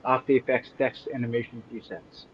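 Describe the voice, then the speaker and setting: A man's voice: mid-range voice, little pitch movement, brisk. A man with a South African accent talks someone through steps on a video call. His line sounds dull and a bit muffled, with a faint hiss underneath. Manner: helpful, gives step-by-step directions. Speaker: community member on a call. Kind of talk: casual video call chat.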